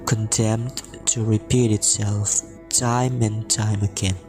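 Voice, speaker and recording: Slow, low-voiced man, ominous. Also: little pitch movement, over music. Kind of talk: amateur radio drama acting.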